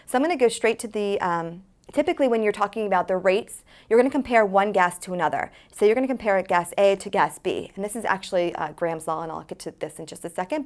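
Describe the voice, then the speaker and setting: Woman teaching chemistry at a whiteboard. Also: mid-range voice. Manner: friendly, explains step by step with many fillers. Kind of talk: whiteboard lesson. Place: studio with whiteboard, indoors. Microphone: lapel mic.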